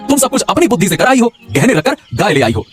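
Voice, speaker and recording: A man voicing an excitable, grumbling village man in a cartoon folk tale, repeating words and exclaiming. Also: high-pitched, fast talker, soft background music. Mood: exasperated. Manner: stammering repetition, exclamatory. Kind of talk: cartoon moral-story voice acting.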